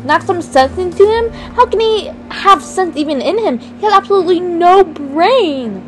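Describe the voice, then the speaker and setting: A woman's voice: very high-pitched, lively intonation, normal speed, faint music behind. A woman playing a hurt, defiant young daughter with a whiny, emphatic delivery in a game roleplay. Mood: bitter. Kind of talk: amateur voice-acted game roleplay.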